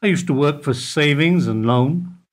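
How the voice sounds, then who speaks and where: An older actor playing a calm, philosophical bank clerk who gives short, evasive answers in a radio play. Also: male voice, fairly high pitch, close to an everyday voice. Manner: measured, terse, evasive replies. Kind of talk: amateur radio play acting.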